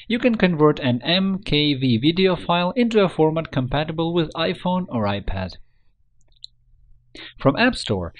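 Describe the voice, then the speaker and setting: A man reading a scripted software tutorial in an instructional, step-by-step manner. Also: on the high side.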